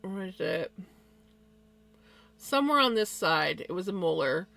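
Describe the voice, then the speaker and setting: A woman's voice: fairly low pitch, sing-song, measured pace, creaky, a bit bright. A woman live streamer with a slight lisp, chatting casually and rambling to her viewers with many fillers and little laughs.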